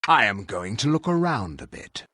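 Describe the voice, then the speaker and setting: Game role: stern formal hero, forceful tone, dramatic pitch swings, dry close recording. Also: male voice, sing-song, dry recording, exaggerated voice. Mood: formal, inquiring. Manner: stiff, formal, deliberate diction. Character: blunt, haughty fantasy warrior hero, stiff and formal. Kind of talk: video game character acting.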